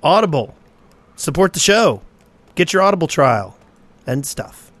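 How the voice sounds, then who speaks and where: A man's voice: a little high, sing-song, measured pace, a bit bright, no room sound. A man chatting casually as a co-host of a podcast. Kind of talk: casual chat.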